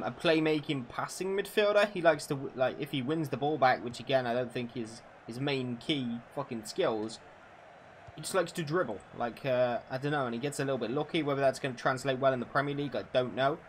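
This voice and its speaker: Young man in his twenties, mid-pitch voice, loose and profane, chatting to his live audience between plays. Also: fairly quick, slight background noise. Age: 20s. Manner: chatty, swears freely, answers viewers' questions. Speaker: gaming streamer. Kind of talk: casual live-stream chat over a football game.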